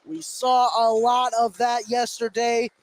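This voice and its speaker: A man doing live play-by-play radio commentary of a basketball game, calling plays excitably as they happen. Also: very high-pitched, a little slow. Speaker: play-by-play sports announcer. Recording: noisy live gym broadcast.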